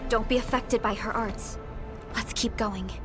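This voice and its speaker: An actress playing a calm, authoritative woman leader in a video game, with composed and formal phrasing. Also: fairly high pitch, a little slow, over music, natural voice. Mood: calm, assured.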